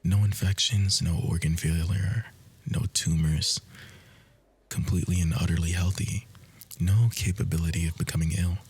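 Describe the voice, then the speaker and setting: A man acting a husky, low-voiced obsessive doctor. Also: fairly even intonation, normal speed, darkish tone. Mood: grave, confessional. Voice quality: husky, breathy, often dropping to a whisper. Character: obsessive male doctor, outwardly kind, quietly manipulative. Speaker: audio roleplay voice actor. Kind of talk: ASMR roleplay, soft intimate acting.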